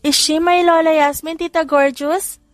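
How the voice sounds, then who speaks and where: A woman's voice: high voice, steady tone, unhurried, no echo, faint music behind, pitched above the performer's own voice. A woman voicing a sweet, polite, eager six-year-old boy in an audiobook, with a careful childlike manner. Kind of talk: audiobook character voice.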